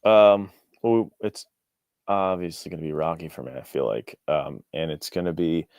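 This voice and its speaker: A man's voice: sits low, normal speed, a little mellow, clear, resonant tone, no room sound, clean studio sound. A man talking casually as an interview guest, earnest and thinking aloud as he goes. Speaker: author, podcast guest.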